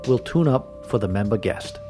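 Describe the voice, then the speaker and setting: A man voicing a pushy, scheming male lawyer who wheedles and coaxes in a raised, lively voice. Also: fairly low pitch, moderate pace, music in the background, lightly acted. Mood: wheedling.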